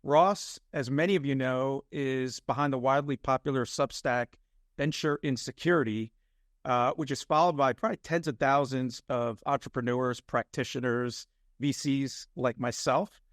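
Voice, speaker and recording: A middle-aged man hosting a podcast interview in a friendly, measured way, with frequent "uh" and "um". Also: medium pitch, steady tone, no echo, clean studio sound. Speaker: podcast host.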